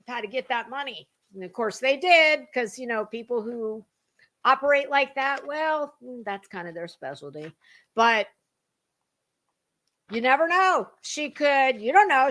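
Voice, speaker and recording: American female commentator, higher-pitched voice, snarky and blunt, reading aloud theatrically with chatty gossip asides. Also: fairly bright tone. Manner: snarky, blunt, casually profane; theatrical, emphatic delivery.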